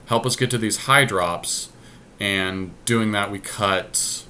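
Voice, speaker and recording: A man's voice: fairly low pitch, slow, bright, forceful tone, slight background noise. A man talking casually through card game deck choices, deliberating and reasoning aloud as he goes. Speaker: podcast host drafting a card game deck.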